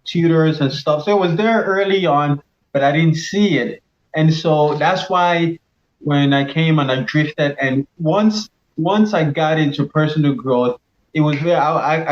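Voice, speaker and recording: Man from Cameroon, middle-aged, earnest interview guest; dull audio. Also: on the high side. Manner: reflective, earnest, with many restarts and fillers. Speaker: pharmacist and leadership coach, guest. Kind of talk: casual interview answers.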